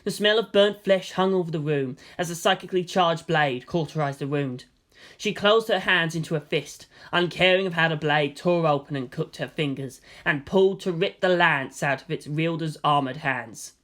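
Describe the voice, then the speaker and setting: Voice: mid-range voice, moderate pace, a bit bright. A narrator reading fan fiction aloud as scripted drama, with a theatrical, solemn delivery. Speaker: story narrator.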